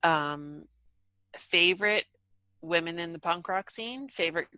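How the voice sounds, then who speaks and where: A young woman hosts a podcast with a bright, forceful voice, chattering animatedly and filling her talk with "like". The sound is dry and close. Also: on the low side, a little slow, no echo. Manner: animated, lots of "like", swears. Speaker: podcast host. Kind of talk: casual chat.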